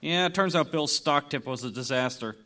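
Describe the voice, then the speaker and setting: A man's voice: a little high, fairly quick. A man playing a mild, sheepish husband and father in a live comic radio play. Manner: sheepish, expressive. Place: live stage performance at an audio theatre festival.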